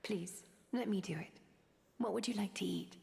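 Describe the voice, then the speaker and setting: A woman voicing a serene, restrained noble young woman in a video game, speaking softly and measuredly. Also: mid-range voice, moderate pace, creaky. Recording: long reverb, faint game music behind.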